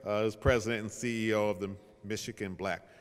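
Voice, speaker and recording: Middle-aged male moderator, deeper voice, informal and self-deprecating with an audience, long hall reverb. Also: fairly even intonation, a little fast, clear, resonant tone. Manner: conversational, joking, self-deprecating. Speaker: panel moderator. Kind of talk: informal spoken introduction to an audience. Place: large reverberant event room.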